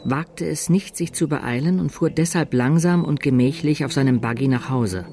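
An actor playing a storyteller who narrates a chronicle for a radio play in a measured, suspenseful way. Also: male voice, fairly high pitch, dark tone, slight background noise, lightly acted. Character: dramatic male storyteller reading a newspaper chronicle. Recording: narration over music.

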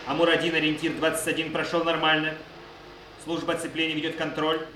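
Army radio operator, radio drama, quick forceful reports, echoey distant sound, bit noisy. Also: male voice, fairly high pitch, flat delivery. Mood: dutiful. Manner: crisp formal reporting, repeats orders. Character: male military radio operator, dutiful, urgent. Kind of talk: Soviet radio play acting.

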